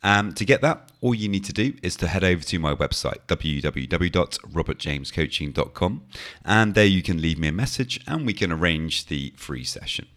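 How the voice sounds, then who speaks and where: A man with a deep, low-set voice that sounds croaky and a little hoarse today. He explains things calmly and steadily as a podcast host, often adding "you know" and restarting phrases. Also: normal speed. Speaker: podcast host and anxiety coach. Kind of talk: solo podcast talk.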